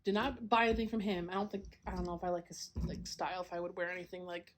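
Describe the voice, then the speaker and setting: A young woman hosting a casual solo podcast while she does a jigsaw puzzle, a little congested and clearing her throat, rambling with lots of "like" and "um" and small laughs, recorded at home. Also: on the low side, level tone, quick pace, a little hiss or hum. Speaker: podcast host. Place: a home, downstairs.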